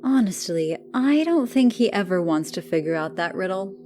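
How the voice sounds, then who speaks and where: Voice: medium pitch, expressive, moderate pace, mellow, soft background music, voice pushed up, close to an everyday voice. A narrator voicing a wry, knowing female demon assistant in an audiobook. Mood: dry, dismissive. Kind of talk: audiobook character voice.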